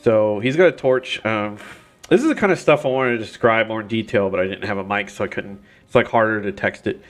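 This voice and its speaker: A man's voice: lowish voice, fairly quick. A man streaming a video game at home while recovering from illness, his voice congested and nasal, rambling with many fillers and restarts. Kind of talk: casual gameplay commentary. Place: home gaming setup. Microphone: desk mic.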